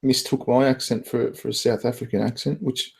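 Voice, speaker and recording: A man's voice: mid-range voice, fairly even intonation, fairly quick, gravelly, husky. An Australian man chatting casually as a host. He swears and laughs freely between his remarks. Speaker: podcast host. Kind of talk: casual chat.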